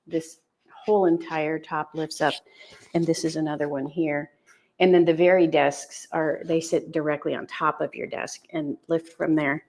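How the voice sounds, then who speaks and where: A woman's voice: low-pitched, fairly even intonation, moderate pace, creaky, darkish tone. A woman hosting a live online workshop, talking casually with frequent fillers. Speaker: workshop host.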